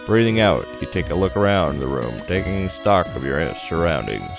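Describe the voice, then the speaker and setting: Low-pitched man with game music behind. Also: lively intonation, moderate pace, gravelly. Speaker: gaming video host. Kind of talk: game playthrough commentary, reading on-screen story text.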